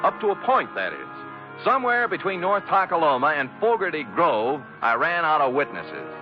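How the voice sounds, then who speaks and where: An actor playing a wry, deadpan private detective in a 1940s-style radio drama. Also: male voice, a little high, moderate pace. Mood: wry narration. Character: wry hard-boiled male private detective. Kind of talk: 1940s radio drama acting, first-person narration. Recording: muffled old radio recording with music.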